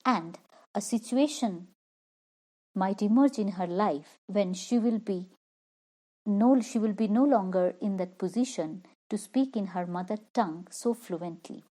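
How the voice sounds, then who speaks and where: Lady going through a poem slow, says everything twice, soft dark voice. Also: medium pitch. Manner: slow, repeats and rephrases each point. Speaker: literature teacher. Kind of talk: explaining a poem line by line.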